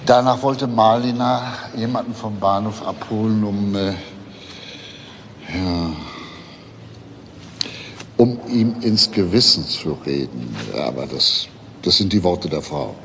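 An actor playing a calm, persistent police detective who questions pointedly in a German crime drama. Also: male voice, on the low side, takes it slowly, long pauses. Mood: matter-of-fact. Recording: very reverberant hall, faint noise.

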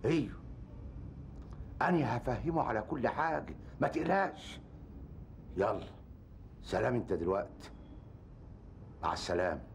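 A sly Egyptian villager in a TV drama, his mid-pitched voice low-key and calculating as he strikes a shady deal. Faint background noise hangs behind him. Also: lively intonation, normal speed, creaky, pauses often. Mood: scheming. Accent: Egyptian Arabic. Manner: scheming, sly, fawning toward superiors. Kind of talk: TV drama acting.

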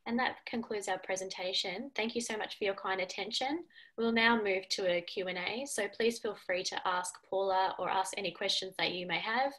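A woman in her twenties giving a scripted presentation in a measured, explanatory way over a video call. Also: medium pitch, a bit bright, poor audio. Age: 20s. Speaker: student presenter. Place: small furnished room at home, indoors. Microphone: video call on a computer.

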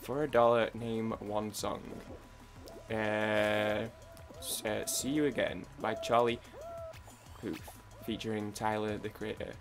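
A man's voice: fairly low pitch, little pitch movement, slow pace. A young man giving casual, rambling commentary over a video game, often stopping mid-word and restarting, with game music playing behind him. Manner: rambling, self-interrupting, hums and sings along. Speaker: gaming YouTuber.

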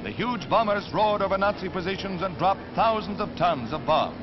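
A dull, old newsreel soundtrack with clear music behind the voice. An American man narrates in a high-set, formal announcer voice. His dramatic delivery swings widely in pitch in true 1940s style. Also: lively intonation, normal speed.

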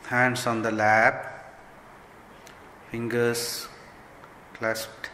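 A man leading a guided meditation as a spiritual teacher, giving gentle instructions in short, measured phrases. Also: medium pitch, monotone. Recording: long hall reverb, faint background noise.

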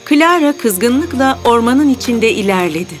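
A woman's voice: on the high side, expressive, measured pace. A woman narrating a scripted fairy tale as the storyteller. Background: background music.

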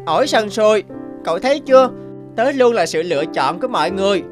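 A woman's voice: medium pitch, expressive, quick pace, natural voice. A woman voicing a vain, boastful young guava that mocks others in a children's cartoon. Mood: gloating. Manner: boastful, mocking. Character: vain, smug, sneering young smooth-skinned guava. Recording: music behind the voice.

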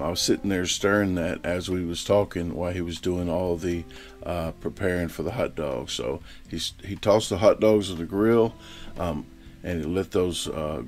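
Deep gravelly food reviewer. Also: male voice. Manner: rambling, laid-back, full of ums and uhs. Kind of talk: casual voice-over narration.

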